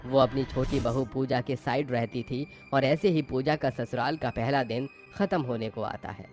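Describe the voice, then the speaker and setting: Male narrator for animated moral stories: medium pitch, scripted storytelling delivery, light music behind. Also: moderate pace.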